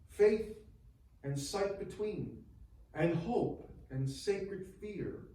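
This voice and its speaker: Slow, high-pitched grieving churchman, echoing. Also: mellow. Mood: solemn. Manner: solemn, declamatory, lingering on phrases. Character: grieving churchman bidding a dear lost friend farewell. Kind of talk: dramatic stage recitation of verse and sermon. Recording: distant, very echoey live hall sound.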